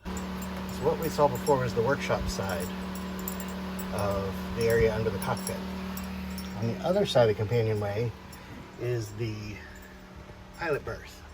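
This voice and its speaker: A man's voice: lowish voice, normal speed, long pauses, loud music behind the voice, poor audio. A man giving a casual, matter-of-fact how-to explanation to camera as a vlogger, working in a cramped space. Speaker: boat DIY vlogger. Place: cramped interior of a boat in a yard.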